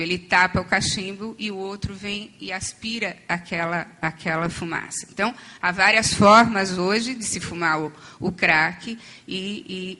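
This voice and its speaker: Hoarse woman giving a lecture. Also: medium pitch, pushed and bright. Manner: explanatory, with frequent fillers and restarts. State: losing her voice. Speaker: conference panel speaker on addiction treatment. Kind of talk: academic conference talk. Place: conference hall.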